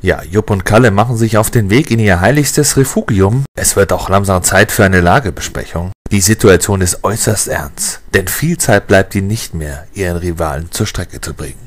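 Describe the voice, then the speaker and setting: A man narrating a scripted audio drama in a mock-dramatic storytelling style. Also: deep voice.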